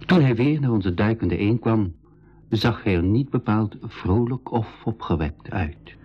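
An actor narrating a travel adventure in the first person, in a measured, literary radio-drama style. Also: male voice, on the low side, lively intonation, husky, dull audio. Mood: sober, foreboding.

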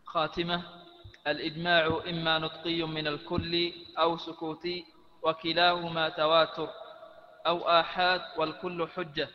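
Man reading out a text, really flat even voice, echoey muffled hall. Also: a little high. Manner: measured, steady recitation. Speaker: student reading the text aloud. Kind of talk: formal reading aloud. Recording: echoey hall, dull lo-fi sound.

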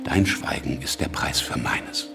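A ruthless revolutionary leader in a radio play. His voice sits extremely low and crackles with gravel. He schemes deliberately and with total self-assurance, like a conspirator. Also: expressive, music in the background, full character voice. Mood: grave, resolute. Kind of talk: radio drama acting.

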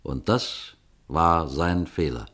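A calm older police detective telling a puzzle case in a radio play. His voice sits very low, and he speaks to the listeners in a measured, unhurried way. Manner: measured, addresses listeners directly. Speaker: detective narrator.

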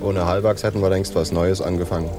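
Casting: resigned, gloomy sailor, played by an actor, low male voice, pirate radio play, music underneath. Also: level tone, fast talker, lightly acted. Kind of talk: radio drama acting.

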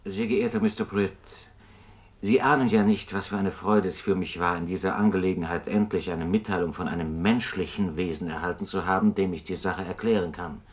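A man's voice: low voice, fairly even intonation, fairly quick, natural voice. A man playing a polite, wronged customer earnestly dictating a complaint letter in a German radio play. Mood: relieved, earnest.

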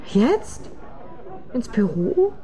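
An actress playing a detective's loyal, plain-spoken secretary in a radio drama, casual and direct. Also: female voice, a little high, very animated, very slow, warm tone, full character voice. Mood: curious, firm.

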